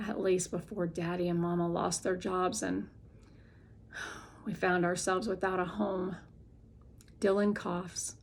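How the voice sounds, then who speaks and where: A woman narrating a children's novel as an expressive, deliberate read-aloud. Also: on the low side.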